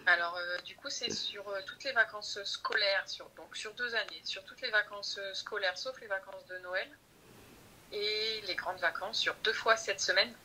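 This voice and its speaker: A woman's voice: medium pitch, a little slow, bright, forceful tone, rough recording. A woman speaking as a podcast guest about her teacher training, thoughtful and hesitant, often restarting her sentences. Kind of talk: conversational interview.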